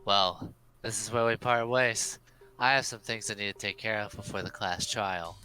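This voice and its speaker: Man voicing haughty, low, bright-voiced young Byakuya. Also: fairly even intonation, normal speed, smooth, poor audio. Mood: cool, businesslike. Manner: condescending, commanding. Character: haughty, curt, dismissive young male rich student Byakuya. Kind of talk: amateur group dub of a visual novel game. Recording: loud music behind the voice.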